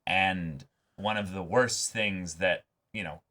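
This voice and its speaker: A man's voice: sits low, takes it slowly, bright, forceful tone. A man co-hosting a reaction show, talking casually and earnestly, often repeating and restarting phrases as he works through his thoughts. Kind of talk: casual discussion and commentary.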